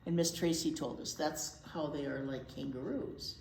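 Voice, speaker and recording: A woman's voice: low-pitched, moderate pace, gravelly, darkish tone. A woman reading a picture book aloud to young children, with simple explanations and chatty asides. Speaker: children's storytime host, librarian. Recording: echoey room with faint background noise.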